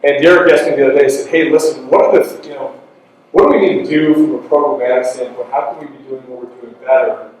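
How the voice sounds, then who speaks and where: A man's voice: medium pitch, steady tone, darkish tone, a little hiss or hum. A man speaking at a live event, rambling and restarting often as he introduces the next speaker. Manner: rambling, halting with many restarts and fillers. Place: large event room with an audience. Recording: echoey hall, mic from a distance.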